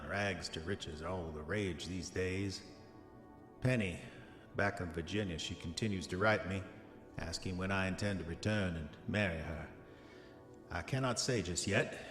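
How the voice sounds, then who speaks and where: An actor playing an arrogant, dissolute diarist of the 1910s, speaking formal old-fashioned entries in a pompous manner for a horror audio drama. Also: male voice, sits low, a bit bright. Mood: smug. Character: arrogant, dissolute 1910s male diarist, self-satisfied, increasingly haunted. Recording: echoey long reverb, music underneath. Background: music.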